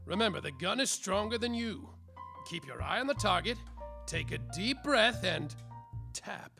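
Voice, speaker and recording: Man acting as world-weary private eye, boastful, high-pitched, lively intonation, parody noir radio play, music behind. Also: moderate pace, gravelly, heavily acted. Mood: boastful, wry. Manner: boastful, hard-boiled. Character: semi-sober world-weary male private detective, slapstick noir.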